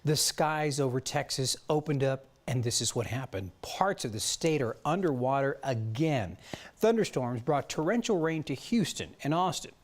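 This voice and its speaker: A man with an American accent reading scripted news stories as a television anchor, with some banter. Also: medium pitch.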